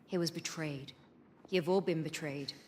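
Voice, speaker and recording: An actress playing a proud, resolute rebel queen with an Indian accent, speaking formally and gravely in a video game. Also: deep voice, darkish tone, slight background noise. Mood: accusing. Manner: formal, measured, imperious. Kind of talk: dramatic video game cutscene acting.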